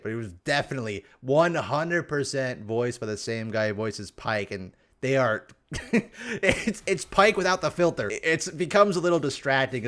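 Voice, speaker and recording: A man hosting a casual, rambling game review with animated, exclamatory, mock-exasperated asides. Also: mid-range voice. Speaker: video host reviewing game characters.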